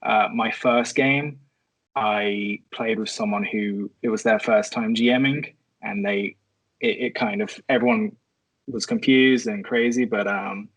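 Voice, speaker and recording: A man's voice: lowish voice, fairly even intonation, unhurried, fairly bright tone, poor audio. A man speaking as a guest in a casual podcast discussion.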